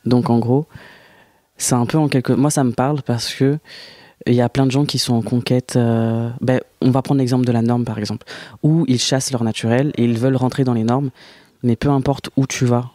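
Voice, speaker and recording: Mellow young man from Réunion. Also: mid-range voice, steady tone, a little fast, no echo, clean studio sound. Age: 20s. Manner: hesitant, many fillers. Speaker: podcast guest. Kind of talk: casual conversation.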